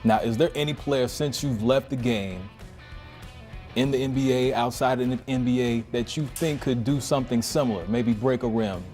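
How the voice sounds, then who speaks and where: Mid-pitched man, soft dark tone, conversational interviewer, sports talk, faint music underneath. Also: normal speed.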